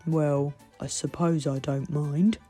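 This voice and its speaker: Woman putting on a booming, grumpy storybook giant. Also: fairly even intonation, takes it slowly, warm tone, husky, soft background music, full character voice. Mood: grudging. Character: grumpy, hungry male giant who craves children. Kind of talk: storybook character voice.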